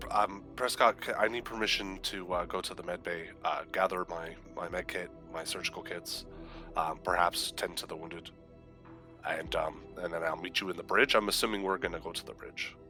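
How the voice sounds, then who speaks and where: A man's voice: low-pitched, steady tone, normal speed, pushed and bright, faint music behind. A man playing a tabletop roleplaying game, talking casually and a little hesitantly.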